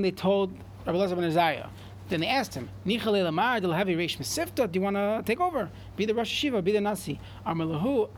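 High-voiced man teaching Talmud. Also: a little hiss or hum. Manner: reads in chant then translates, frequent restarts. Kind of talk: Talmud class, reading and translating.

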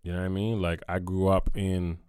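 A man's voice: low voice, flat delivery, a little fast, a little mellow, smooth, no echo, clean studio sound. A man from Nova Scotia, a guest in a casual interview, talking directly and emphatically in streetwise phrasing. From Nova Scotia, Canada.

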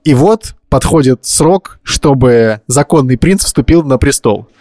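A man's voice: medium pitch, expressive, measured pace, warm tone, no room sound, clean studio sound. A man co-hosting a podcast, telling stories colloquially and acting out quoted reactions in casual chat. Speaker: podcast co-host.

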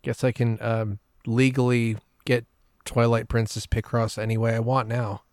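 A man's voice: medium pitch, little pitch movement, normal speed, a little mellow, no room sound, clean studio sound. A man chatting casually as a podcast host. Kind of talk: casual chat.

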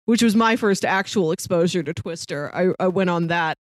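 A woman's voice: fairly low pitch, normal speed, no echo, clean studio sound. A woman chatting casually about movies as a podcast co-host, explaining things in a lightly amused way.

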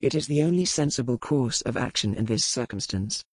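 A woman voicing a stern male pirate captain who speaks in measured, formal sentences. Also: rumbling low, animated, breathy edge, no room sound, pitched below the performer's own voice, heavily acted. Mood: grave. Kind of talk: audiobook character voice.

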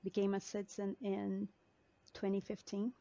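A Brazilian-born woman with as her answers an interviewer calmly. Her pitch stays level with little movement, and the audio is a bit dull and lo-fi. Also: moderate pace, vocal fry. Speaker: CRM consultant guest.

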